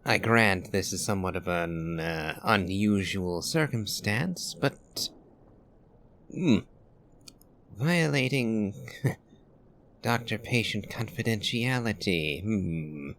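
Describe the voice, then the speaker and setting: A man voicing a courteous, cautious gentleman who speaks formally and hesitantly in a scripted game. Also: lowish voice, lively intonation, slow, pauses often, heavily acted. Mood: uneasy, deliberating. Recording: faint music behind the voice.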